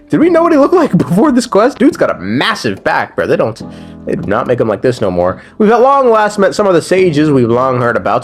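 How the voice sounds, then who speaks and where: A young man giving a casual, slangy and profane recap commentary as a gaming video creator, joking as he goes. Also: on the high side.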